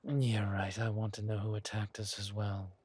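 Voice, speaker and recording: A man voicing a suave, menacing villain king who is doting with his lover and talks in a smooth, teasing, courteous drawl for a roleplay audio drama. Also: lowish voice, flat delivery, moderate pace, a little mellow, no echo. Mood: controlled, conspiratorial.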